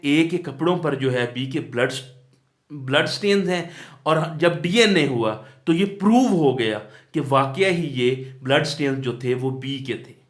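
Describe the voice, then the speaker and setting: A man with a Pakistani accent giving an explanatory law lecture, didactic, posing questions and answering them himself, mixing in English terms. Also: fairly high pitch. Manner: switches between Urdu-Hindi and English legal terms. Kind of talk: explanatory exam lecture, reading out definitions and breaking them down.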